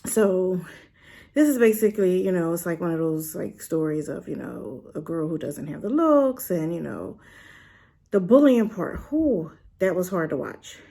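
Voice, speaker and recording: A woman's voice: lowish voice, unhurried, vocal fry. A woman casually reviewing a drama in a chatty, rambling way, full of fillers and asides. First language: not Korean. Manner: animated, with rhetorical questions.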